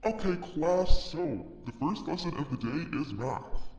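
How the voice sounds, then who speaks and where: A man's voice: a little high, expressive, a little slow, a little mellow. A man voicing a gleefully cruel monster schoolteacher in a video game, theatrical and swinging between chirpy and threatening. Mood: giddy. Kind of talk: video game character acting. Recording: echoey hall, distant, some noise.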